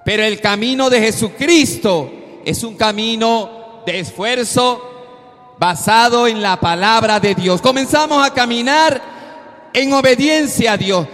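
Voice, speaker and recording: Male preacher, very high voice, slow emphatic delivery with shouted peaks, long hall reverb. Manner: slow, emphatic exhortation with sudden loud peaks. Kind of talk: sermon.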